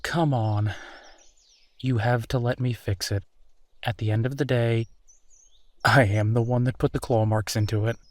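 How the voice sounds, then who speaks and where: A man's voice: mid-range voice, steady tone, unhurried, pauses often, a little mellow, dry recording, lightly acted. A man playing a friendly, easygoing werewolf in a roleplay audio drama, talking casually and reassuringly with small hesitations. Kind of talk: roleplay audio drama, natural one-sided conversation.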